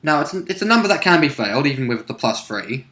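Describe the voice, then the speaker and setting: A man's voice: fairly high pitch, very animated, quick pace, sharp tone. A man who plays in a tabletop role-play game, chatting casually and matter-of-factly as he recaps how it went.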